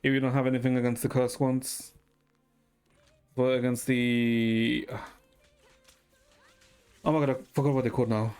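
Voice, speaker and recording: A man's voice: mid-range voice, little pitch movement, moderate pace, pauses often, a little mellow, clear, resonant tone. A man streaming a game and chatting casually with viewers, thinking aloud hesitantly with some mild swearing. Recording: music playing behind the voice. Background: music.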